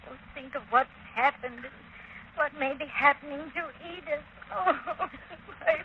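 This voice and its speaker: A woman's voice: high voice, measured pace. Anguished wealthy lady, weary faltering speech, melodrama, muffled noisy vintage radio. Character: distraught, exhausted lady of a grand house. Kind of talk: 1930s radio mystery acting. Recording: muffled, noisy old 1930s radio broadcast.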